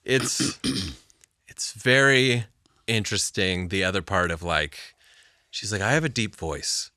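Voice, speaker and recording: A man co-hosting a casual faith conversation, animated, laughing often and saying yeah a lot. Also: on the low side, slow pace, dry recording, studio quality. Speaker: co-host of church midweek chat.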